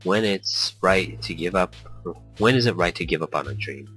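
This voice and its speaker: A man's voice: deep voice, lively intonation, fairly bright tone, breathy edge, poor audio. A man reading a visual novel aloud as brooding first-person narration, slipping into brief character voices. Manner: brooding, introspective, quick shifts into small character voices. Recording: faint background music.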